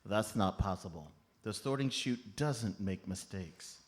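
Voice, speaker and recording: This is a man acting as an earnest master elf in a comic radio play. He recites rules and procedures in a formal, bureaucratic manner, and his pitch moves around a great deal. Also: expressive, unhurried. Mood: officious, matter-of-fact. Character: earnest, rule-bound male master elf of Santa's mailroom.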